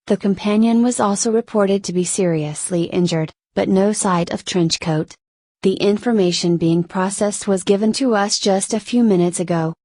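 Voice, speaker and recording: Woman acting as female emergency newsreader, formal, measured, scripted bulletin delivery, mid-pitched, dry close sound. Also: a little mellow, no echo, lightly acted. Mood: serious, informative. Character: female radio station newsreader reporting on city violence. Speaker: emergency broadcast news announcer.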